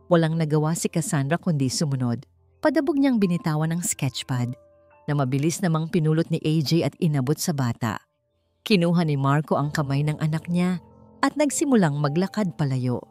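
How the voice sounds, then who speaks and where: A woman reading a scripted audiobook story in a measured, expressive way. Her voice sits low but moves with an animated rise and fall. Faint music plays under the narration.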